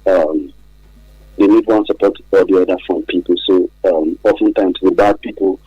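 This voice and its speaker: Husky low-voiced Nigerian man, halting. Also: fairly even intonation, muffled recording, faint music behind. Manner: halting, repeats phrases for emphasis. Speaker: studio guest on a radio programme. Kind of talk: radio interview, explanatory talk.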